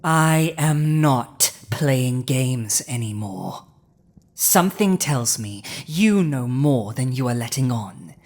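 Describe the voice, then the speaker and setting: A woman voicing a commanding villainous female dragon in a dramatic audio roleplay, speaking deliberately with weighty pauses. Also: extremely low pitch, lively intonation, a little mellow, full character voice. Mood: stern, demanding. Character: villainous commanding female dragon, intense yet softening to compassion.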